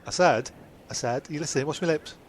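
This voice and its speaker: A man's voice: on the high side, brisk, a little hiss or hum. A man in an amateur comedy audio drama, dithering and stubborn as he argues for his own ideas. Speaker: cast member and writer.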